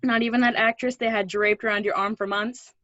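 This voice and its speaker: A woman reading the part of an older, guarded but protective woman in a play, conversational and gently teasing. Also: fairly high pitch, steady tone, fairly quick, no room sound, highs cut off. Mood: wry, teasing. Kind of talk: play readthrough acting.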